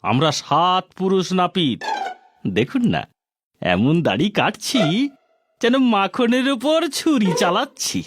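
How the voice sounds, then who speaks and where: A man's voice: high-pitched, big pitch swings, a little slow, dry recording, full character voice. A man voicing a boastful, rambling, servile royal barber in a comic cartoon, obsequious and bragging. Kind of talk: Bengali comic cartoon voice acting.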